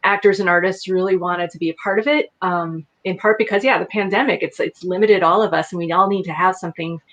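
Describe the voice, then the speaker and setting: A woman guest on a podcast chatting casually with warmth, laughing as she praises the cast. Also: on the low side, steady tone, fast. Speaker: voice actor guest.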